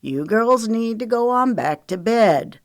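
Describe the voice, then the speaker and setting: A woman voicing a smug, menacing man with a slow, self-assured drawl, in an audiobook. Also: lowish voice, lively intonation, creaky, no echo, studio quality, heavily acted. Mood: smug, dismissive. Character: smug, menacing predatory man with Southern folksy talk.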